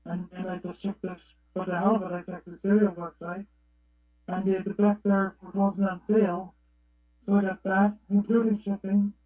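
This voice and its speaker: High-voiced man chatting on a radio net. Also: level tone, normal speed, mellow, low-quality recording. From Canada. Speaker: participant on a CB radio club net. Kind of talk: casual chat, telling an anecdote.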